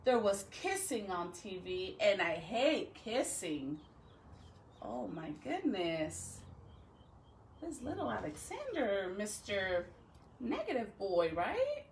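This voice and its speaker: A woman teacher reading a picture book aloud to children in an expressive, deliberate way, with chatty asides to her listeners. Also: medium pitch, slight background noise. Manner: animated, deliberate, dramatic phrasing with drawn-out words.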